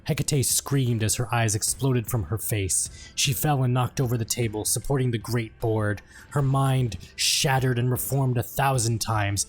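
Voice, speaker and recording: A man narrates grim fantasy lore in a medium-pitched voice. His delivery is solemn and portentous, clearly scripted and dramatic. Faint music plays behind him. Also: fairly even intonation, a little slow, few pauses. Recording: background music under the voice.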